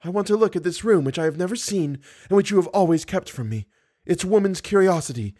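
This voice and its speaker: A man's voice: high-pitched, big pitch swings, brisk, pitched above the performer's own voice. A man voicing a frightened young woman captive, pleading anxiously in an audiobook story. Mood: coaxing.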